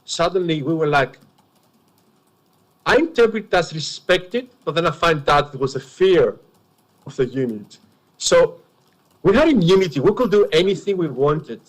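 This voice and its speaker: A man with a heavy Greek accent, a native Greek speaker, gives witness testimony at an enquiry. His voice sits a little high. He speaks in an earnest, emphatic, confessional way. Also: animated, moderate pace, long pauses, low-quality recording. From Greece.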